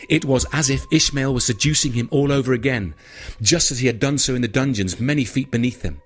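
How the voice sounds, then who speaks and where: A man's voice: medium pitch, brisk. A man reading horror fiction as an audiobook narrator, in a scripted, dramatic storytelling style.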